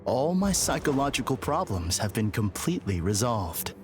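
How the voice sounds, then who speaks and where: A man's voice: medium pitch, big pitch swings, moderate pace, music in the background, close to an everyday voice. An actor playing a politely grandiose, deluded man in a video game, formal and theatrical in manner. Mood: smug calm. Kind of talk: dramatic video game voice acting.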